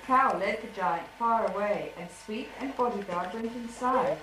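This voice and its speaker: A woman's voice: medium pitch, normal speed. A female narrator reading out an amateur puppet show story, recorded on an echoey, noisy old home video.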